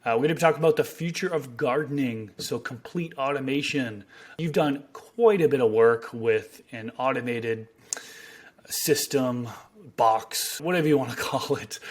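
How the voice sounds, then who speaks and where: A man in his thirties with a slightly raspy voice, hosting a podcast, reading sponsor spots steadily and chatting casually with a guest, close on a studio microphone at home. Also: mid-range voice. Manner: steady, list-reading delivery. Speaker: podcast host. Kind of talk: read sponsor ads, then casual interview. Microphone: studio microphone on arm.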